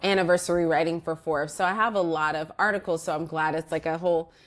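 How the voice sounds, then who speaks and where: A woman with a lowish voice speaks as a podcast guest. She talks in a relaxed, reflective way, circling back and restarting her thoughts with plenty of "like" and "you know.". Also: fast talker. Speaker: guest, organizational psychologist. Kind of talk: casual interview conversation.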